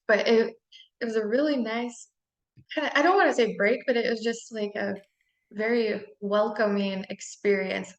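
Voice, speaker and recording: A woman's voice: medium pitch, rough recording. A woman hosting a casual interview, mostly answering with short, agreeable replies and small comments.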